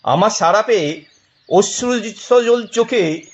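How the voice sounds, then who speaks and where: A man narrating a scripted story dramatically, in a measured and expressive way. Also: sits high, lo-fi sound.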